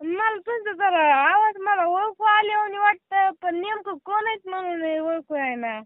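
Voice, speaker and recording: A woman's voice: very high-pitched, moderate pace, dry recording. A woman answering a prank phone call, amused and suspicious. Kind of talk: phone conversation. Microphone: phone line. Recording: tinny phone call recording.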